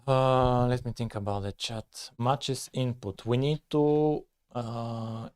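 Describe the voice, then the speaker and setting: A man, talking casually on a live coding stream, thinking aloud with frequent pauses and "uh"s. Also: mid-range voice, fairly even intonation, a little mellow, clean tone. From Bulgaria. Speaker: live-streaming game developer.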